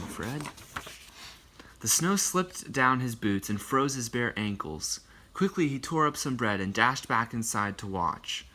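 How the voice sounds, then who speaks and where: Bright-voiced man reading a picture book. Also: medium pitch, slight background noise. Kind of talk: live picture book read-aloud with casual asides.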